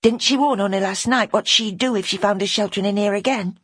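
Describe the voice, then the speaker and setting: A woman voicing a motherly poor orphan girl with a Northern English accent, gentle but firm, in an audiobook. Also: medium pitch, fast, husky, dry recording, studio quality, pitched above the performer's own voice. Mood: hushed, soothing. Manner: coaxing, plain dialect talk. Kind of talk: audiobook character acting.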